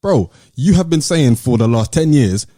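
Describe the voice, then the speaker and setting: A British man hosting a podcast in casual banter with friends, animated and teasing in manner. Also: medium pitch, normal speed, no room sound, studio quality. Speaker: podcast host.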